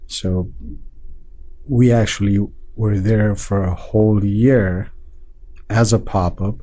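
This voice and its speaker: A man from Nepal, a restaurant owner being interviewed, talking in a relaxed way with many fillers and restarts. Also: fairly low pitch, slow pace. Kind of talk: casual interview talk.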